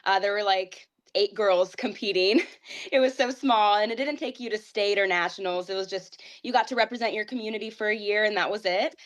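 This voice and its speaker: A woman's voice: medium pitch, brisk. A young woman in her twenties from Ohio, a pageant titleholder telling her story in a casual interview, earnest and upbeat with a rambling flow. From Ohio, United States.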